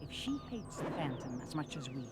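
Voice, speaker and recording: An actress playing a commanding, vengeful corporate villain in an animated action series, cold and imperious, with music behind her. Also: low voice, fast. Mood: cold scheming.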